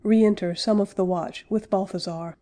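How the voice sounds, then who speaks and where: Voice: mid-range voice, fairly even intonation, moderate pace, mellow. A narrator reading out stage directions plainly for a play audiobook.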